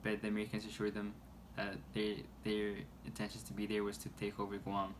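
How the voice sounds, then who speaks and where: A man reading a scripted news report aloud in a halting way, stumbling over words. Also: lowish voice, monotone. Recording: noisy amateur recording.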